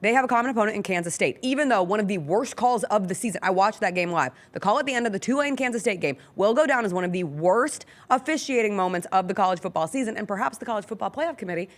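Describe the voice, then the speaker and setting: A woman's voice: medium pitch, animated, fast talker, few pauses. A woman hosting a sports talk show, giving casual, opinionated and analytical commentary with attention to detail.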